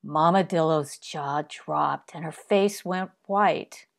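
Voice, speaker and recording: A woman narrating a children's story in an expressive read-aloud style. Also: low voice, slow, vocal fry.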